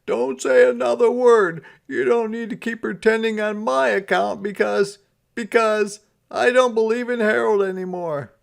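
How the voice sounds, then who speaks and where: A man's voice: high-pitched, fairly even intonation, unhurried, gravelly, pitched above the performer's own voice. A man voicing a stubborn, agitated old Santa Claus in an emphatic, dramatic way for a storybook read-aloud. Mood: distressed.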